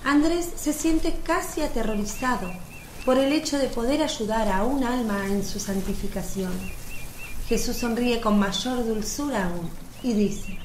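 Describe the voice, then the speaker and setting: A woman's voice: a little high, a little slow. A woman reading scripted narration as the narrator of a radio drama. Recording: echoey room, background noise.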